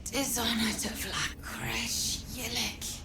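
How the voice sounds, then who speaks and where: A woman voicing a reanimated female corpse in a fantasy game, speaking in halting, whispery, creaking fragments. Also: on the high side, very animated, full character voice. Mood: lifeless, pained. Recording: loud ambient noise over the voice.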